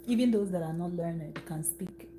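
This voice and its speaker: A woman's voice: fairly low pitch, normal speed. A young woman from eastern Nigeria with a Nigerian accent, chatting casually while cooking at home. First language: Igbo. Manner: chatty, laughs often, talks while working. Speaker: cooking livestream host. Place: home kitchen. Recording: noisy room with hum.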